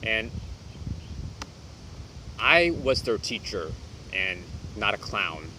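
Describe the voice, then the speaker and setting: Young guy teacher vlogging, lowish voice, quiet and reserved, stops and restarts a lot, noisy hum behind. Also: big pitch swings, very slow. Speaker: English teacher. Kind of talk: reflective vlog monologue.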